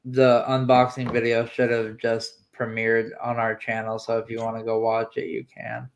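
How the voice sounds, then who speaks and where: A man in his late twenties chatting on a livestream, hesitant and filling pauses, trailing off his sentences. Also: mid-range voice, completely flat delivery. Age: 20s. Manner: hesitant, lots of fillers, trails off with "so". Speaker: livestream co-host. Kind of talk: casual livestream chat.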